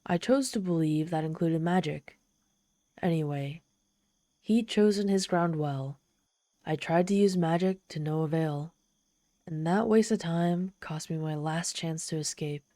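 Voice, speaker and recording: Mellow, haughty demon woman, acted out by a woman. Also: deep voice, no room sound, clean studio sound. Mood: embarrassed, grudging. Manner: haughty, theatrical, halting when embarrassed. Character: proud, flustered female demon, desperate and easily exasperated. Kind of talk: ASMR roleplay storytelling to the listener.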